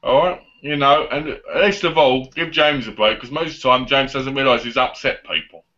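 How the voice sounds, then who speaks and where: A man's voice: medium pitch, moderate pace, pushed and bright. A man in his twenties hosting a casual live online chat show. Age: 20s. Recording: dull lo-fi online call audio.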